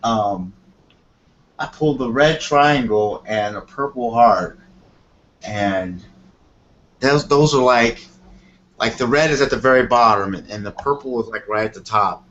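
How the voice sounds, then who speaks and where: A man's voice: medium pitch, a little slow, pauses often, fairly bright tone. A man working as a tarot reader, explaining things casually and off the cuff in a rambling way, often checking that he is understood. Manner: rambling, repeats "you know what I mean". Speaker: tarot reader on a call-in show. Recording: dull lo-fi audio with clear noise.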